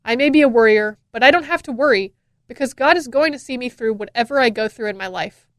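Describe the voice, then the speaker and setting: A woman's voice: a little high, quick pace, no echo. A young American woman talking as a radio DJ in an upbeat, casual, chatty and enthusiastic way, close on a studio microphone. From Florida, United States.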